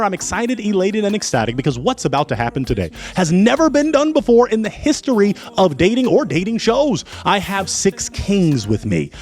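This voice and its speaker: Man, dating show host, showman energy, high and animated. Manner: hype, showman-like, playful.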